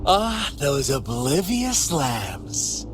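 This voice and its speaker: An actor playing a theatrical, teasing storyteller with a sinister edge, telling a dark fable in a deliberate, coaxing manner for a game cutscene. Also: male voice, a little high, huge pitch swings, over music, heavily acted. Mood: sly storytelling.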